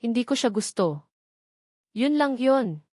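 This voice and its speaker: A woman's voice: fairly high pitch, lively intonation, takes it slowly, a little mellow, no echo, clean studio sound, pitched above the performer's own voice. A woman voicing a frightened young housemaid who answers in a guarded, evasive way in a storybook reading.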